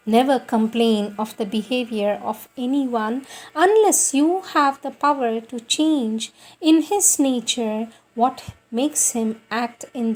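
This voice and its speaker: A woman reading spiritual teachings aloud as a solemn, scripted devotional piece, in a measured, earnest and instructive manner. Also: fairly high pitch, darkish tone, poor audio.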